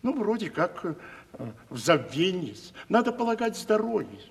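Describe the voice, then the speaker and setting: An old-fashioned household servant in a vintage radio drama, fussy and respectful. His high voice bobs up and down as he hesitates, ringing in a reverberant space. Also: big pitch swings, moderate pace, gravelly, full character voice. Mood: respectful, hesitant. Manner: obsequious, hesitant servant speech. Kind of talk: theatrical 1970s Russian radio play acting.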